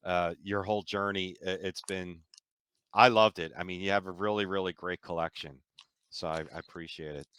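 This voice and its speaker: A man hosting a casual interview show, laid-back and quick to laugh along with his guest. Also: low voice, fairly even intonation, a bit bright, clear, resonant tone, no echo. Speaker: podcast host.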